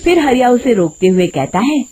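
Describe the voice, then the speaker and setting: A woman's voice: fairly high pitch, very animated, normal speed, warm tone, slight background noise. A woman narrating a scripted moral tale in a dramatic storytelling style.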